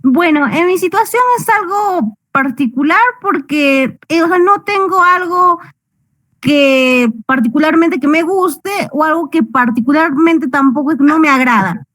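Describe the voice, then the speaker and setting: A woman student in an online class, rambling and filling pauses as she answers. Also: high voice, a bit bright, no echo. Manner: rambling, many fillers. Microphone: video call.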